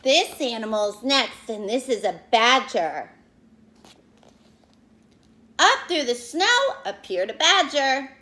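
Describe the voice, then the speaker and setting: High-pitched, animated woman reading to children. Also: slow, pauses often. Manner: expressive, teacherly, explains words and asks questions. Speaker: read-aloud storyteller for young children. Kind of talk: animated picture-book read-aloud with asides to children.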